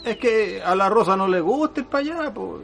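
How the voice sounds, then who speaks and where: A man's voice: high-pitched, expressive, brisk, vocal fry, fairly bright tone, soft background music, exaggerated voice. A man playing a humble, stubborn peasant in a radio drama, with a rural Chilean accent and a rustic, deferential way of talking. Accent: rural Chilean Spanish. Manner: rustic, deferential, trailing tags.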